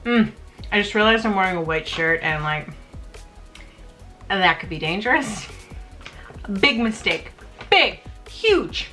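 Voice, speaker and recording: A woman's voice: mid-range voice, animated, slow, long pauses, pushed and bright, soft background music. A young woman, chatting in a casual home vlog about her snacks, bubbly and self-mocking, often laughing. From Canada. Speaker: vlogger.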